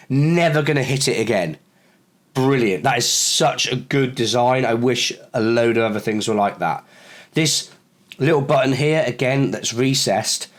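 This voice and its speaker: A man with a sore throat who sounds a little croaky, chatting casually in a review vlog with lots of fillers and restarts. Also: mid-range voice. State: hoarse from a cold. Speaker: camera reviewer.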